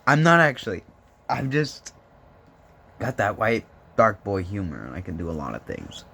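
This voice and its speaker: A young man with a voice hoarse from smoking, rambling and joking in a laid-back way into his phone. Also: on the low side, animated, normal speed, pushed and bright. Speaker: vlogger. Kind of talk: rambling casual vlog chat.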